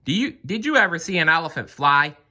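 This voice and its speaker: Man putting on a brash mocking crow, pitched high. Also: big pitch swings, fairly quick, sharp tone, exaggerated voice. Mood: mocking, amazed. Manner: exclaiming, sing-song teasing. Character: jeering cigar-smoking male crows, brash and mocking.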